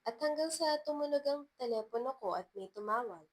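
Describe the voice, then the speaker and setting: A woman's voice: high-pitched, lively intonation, fairly quick. A woman reading a first-person love story as a scripted narration.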